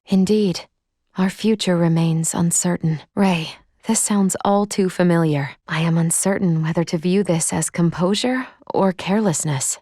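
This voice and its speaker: A composed female android from a video game, with a fairly low voice in a dry, close recording. She speaks formally and precisely, measured and deadpan, with a dryly caring temper. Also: no echo. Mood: calm, pensive. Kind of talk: scripted video game voice acting.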